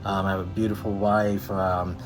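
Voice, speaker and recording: Forties male realtor, level low delivery, over a music bed. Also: level tone, moderate pace. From Massachusetts. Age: 40s. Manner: rambling, many fillers and restarts. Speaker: real estate agent. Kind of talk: casual self-introduction. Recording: music playing clearly under the voice.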